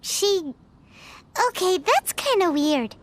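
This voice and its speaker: A woman voicing a tiny, excitable, childlike fairy companion in a video game, with a squeaky voice and exaggerated delivery. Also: takes it slowly, no room sound, full character voice. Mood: puzzled. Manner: speaks of self in third person, exaggerated. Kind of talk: video game cutscene voice acting.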